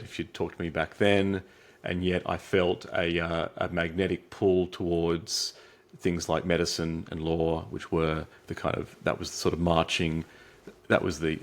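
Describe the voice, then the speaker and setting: An Australian man as an interview guest, talking in a reflective way with many restarts and fillers. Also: deep voice. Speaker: guest, psychiatric trainee. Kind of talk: interview answers.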